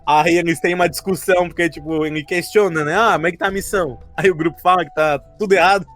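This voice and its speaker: A man's voice: a little high, fairly even intonation, quick pace, a bit bright, faint music behind. A Brazilian man casually recapping a game campaign among friends, in an informal, rambling storytelling way. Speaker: podcast co-host and game master recapping a tabletop campaign.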